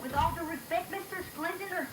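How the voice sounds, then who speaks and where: Woman as earnest young animator, high-pitched, echoey. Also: fairly quick, recorded from a distance. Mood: protesting. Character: young male animator, earnest, confident, pleading. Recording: echoey, noisy reel-to-reel tape.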